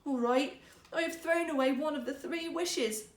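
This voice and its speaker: A woman's voice: high voice, moderate pace, voice pushed up, close to an everyday voice. A woman voicing a poor woodcutter husband in a fairytale, earnest, emphatic, teasing and easily riled. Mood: subdued. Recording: roomy, echoing sound.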